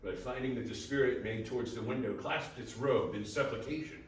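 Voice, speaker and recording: Very echoey room, faint noise, male narrator, dramatic storytelling, expressive, scripted readers theater. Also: medium pitch, a little fast, recorded from a distance.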